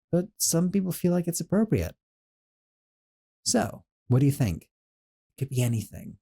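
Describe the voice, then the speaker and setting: A man playing a doting sorcerer roommate. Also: medium pitch. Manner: soft, doting, a little flustered. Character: doting young male sorcerer roommate, gentle. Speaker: audio roleplay performer. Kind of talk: scripted audio roleplay.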